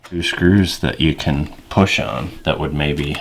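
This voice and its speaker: A man's voice: a bass voice, slow. A man making casual, offhand remarks as he helps with a repair. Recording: noisy room recording.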